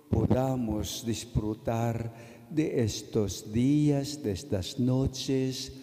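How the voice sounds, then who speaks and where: A Filipino-accented priest preaches at a very slow, careful pace. His voice is dark and mellow, and it rings with the long reverb of a large church. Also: medium pitch. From Philippines. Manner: slow, deliberate, careful delivery with pauses. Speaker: priest giving a Lenten mission talk. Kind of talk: sermon. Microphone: pulpit microphone.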